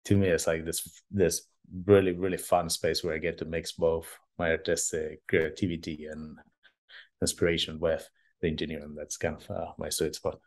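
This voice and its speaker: A man from Argentina answering casually as a podcast guest, halting and thinking aloud as he goes. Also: extremely low pitch, level tone, a little mellow. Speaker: podcast guest, engineer and artist.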